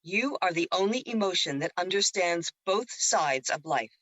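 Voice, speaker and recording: A woman's voice: lowish voice, lively intonation, normal speed, a bit bright, no room sound, muffled recording. A woman playing the Moon as a teasing, wise and reassuring spirit in an audio drama, with a playful, counselling way of talking.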